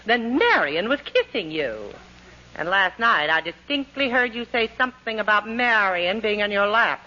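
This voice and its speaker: Nagging wife, bright, swooping voice. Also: female voice, medium pitch, lively intonation, normal speed. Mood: insistent. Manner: theatrical, scolding. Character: nagging, insistent wife of a henpecked husband. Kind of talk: 1940s radio comedy acting. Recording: dull old radio recording.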